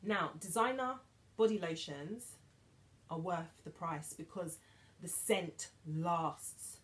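A British woman in her thirties chatting to camera in a beauty haul vlog, animated and dropping to whispers for asides, filmed in her dressing room. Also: fairly low pitch, takes it slowly. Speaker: beauty vlogger. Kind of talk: casual haul chat to camera. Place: bedroom dressing room with vanity, indoors.

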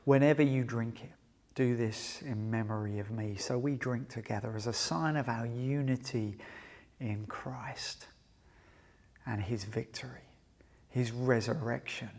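A man in his forties, a pastor leading communion, speaking earnestly in short phrases with pauses and soft trailing endings. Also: mid-range voice. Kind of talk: prayer and scripture reading for church service. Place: large empty indoor sports hall. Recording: echoey sports hall with long reverb.

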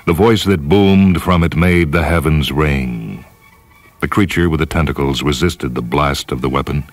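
A man narrating a story in a dramatic, measured, grave manner. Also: very deep voice. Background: music.

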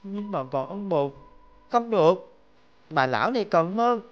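A woman voicing a kind, naive and polite little village boy in an animated fairy tale. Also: medium pitch, measured pace, lo-fi sound, close to an everyday voice. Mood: earnest, wondering. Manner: naive, polite, thinking aloud to himself. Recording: background music.

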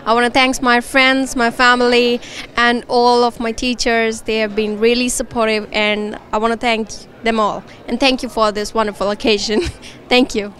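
Even, higher-pitched young woman. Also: moderate pace. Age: young adult. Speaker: graduating master's student. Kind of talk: thank-you message to camera. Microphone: handheld microphone.

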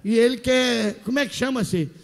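High-pitched Brazilian man hosting in a hall. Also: moderate pace, vocal fry, a crowd in the background. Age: middle-aged. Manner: teasing, boisterous, repeats himself. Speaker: TV variety show host. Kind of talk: playful on-stage interview banter. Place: large indoor TV studio stage. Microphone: handheld microphone. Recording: echoey TV studio hall, music.

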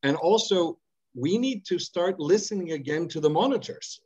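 A man's voice: sits high, animated, a little mellow, breathy edge. Middle-aged man, earnest, on a muffled call. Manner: deliberate, emphatic, with many repeated words. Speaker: audience member asking a question. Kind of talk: earnest spoken question on a video call.

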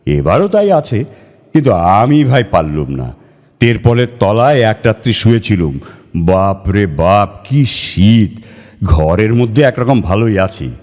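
A man's voice: lowish voice, darkish tone. A man voicing a hearty, jovial elder brother in a ghost story, exclaiming, repeating words and asking eager questions. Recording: long hall reverb on voice.

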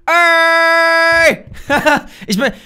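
A hoarse young man in his twenties, loudly and playfully commentating a game from a small room at home, talking into a desk mic while wearing a headset. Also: extremely high pitch, drawn-out words. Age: young adult. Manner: loud, shouty, laughing, playful exclamations. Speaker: gaming YouTuber. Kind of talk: excited gameplay commentary.

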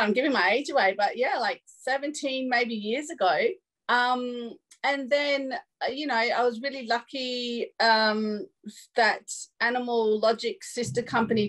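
Laughing woman on a video call. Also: a little high. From Australia. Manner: laughing, animated storyteller. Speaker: filmmaker panelist. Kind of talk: casual panel talk over video call. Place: room at home with curtains. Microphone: laptop webcam on video call.

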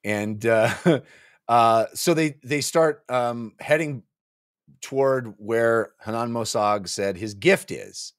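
A man's voice: medium pitch, takes it slowly, no echo, studio quality. A man in his forties co-hosting a book club show, recapping a story descriptively in casual chat and sometimes acting out a character's line. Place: home office, on a video call. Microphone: desk microphone, close.

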